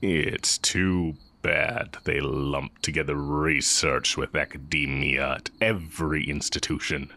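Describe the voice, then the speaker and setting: An extremely deep, slow-talking heretic sorcerer acted by a man, once an academy teacher. He speaks intimately and straight to the listener, with smug, wry condescension and an undercurrent of affection, as in a fantasy audio roleplay. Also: fairly even intonation, creaky, bright, forceful tone, no echo, full character voice. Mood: fond, musing. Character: exiled heretic dark-magic teacher, smug, fond, villainous.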